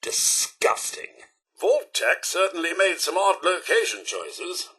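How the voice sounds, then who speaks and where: An actor playing a fussy, loyal, polite robot butler in a video game, with a British accent and a prim, formal, theatrical manner. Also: male voice, sits high, takes it slowly, sharp tone, husky, exaggerated voice. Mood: fretful, wry. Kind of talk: video game character voice lines.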